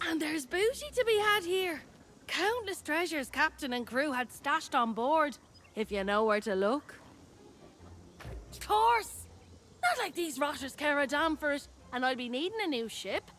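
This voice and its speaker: An actress playing a brash, cheeky young pirate treasure hunter in a video game, with a swaggering, playful, colloquial delivery. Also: female voice, sits high, a bit bright. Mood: scheming, playful. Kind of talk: video game cutscene acting.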